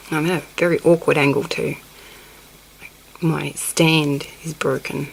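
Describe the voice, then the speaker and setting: Sniffly low-voiced woman teaching crochet. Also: gravelly, slight background noise, poor audio. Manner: counts stitches under her breath, apologetic asides. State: sniffly with a cold. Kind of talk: hands-on craft tutorial. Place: indoors at a table, close-up on her hands.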